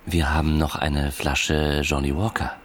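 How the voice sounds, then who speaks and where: Very low-voiced, deferential organiser. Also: male voice, level tone, measured pace, slight background noise. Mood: flustered, apologetic. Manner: deferential, hesitant. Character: polite, eager, slightly flustered male foundation organiser. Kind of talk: German radio drama acting.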